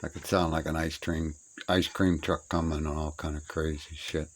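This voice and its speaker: A man's voice: rumbling low, little pitch movement, normal speed. A middle-aged or older man rambling casually in a folksy, plainspoken way, musing to himself as he shows his things around. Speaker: hobbyist showing his old radio gear. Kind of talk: casual rambling walk-through. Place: cluttered old room being cleared out. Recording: noisy amateur recording, clicks, insect chirps.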